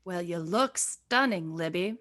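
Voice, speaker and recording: A woman playing a courtly, flattering radio host in a 1940s announcing style. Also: on the low side, a little slow. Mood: polite, flattering.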